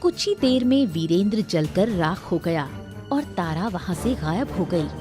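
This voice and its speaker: A woman's voice: lowish voice, sing-song, measured pace, dark tone, soft background music. A woman narrator reading a scripted story.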